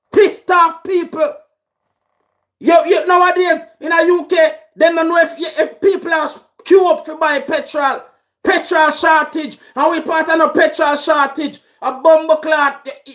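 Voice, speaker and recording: A man with a Jamaican accent, shouting through an angry political rant. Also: very high voice. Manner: shouting, raging.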